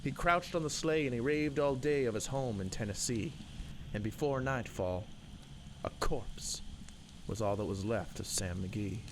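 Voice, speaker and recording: A man's voice: mid-range voice, unhurried. A man giving a theatrical, rhythmic, emphatic recitation of a narrative poem. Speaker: poem reader. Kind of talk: dramatic narrative poetry recitation. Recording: loud background noise and ambience.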